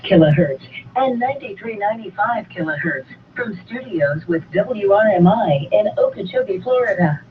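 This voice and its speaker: A woman's voice: mid-range voice, lively intonation, normal speed. A woman reading a scripted station announcement over shortwave radio. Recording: distant, tinny shortwave radio, faint static.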